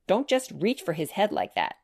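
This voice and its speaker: A woman's voice: fairly low pitch, wildly swooping pitch, moderate pace, a little mellow, husky, exaggerated voice. Woman reading as a reserved, matter-of-fact young boy. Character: reserved, skeptical young boy who loves his dog.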